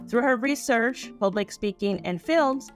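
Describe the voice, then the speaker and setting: A woman podcast host reading a guest introduction in a steady, even manner over a video call. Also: on the high side, a little slow, soft background music. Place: small home room. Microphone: headset on video call.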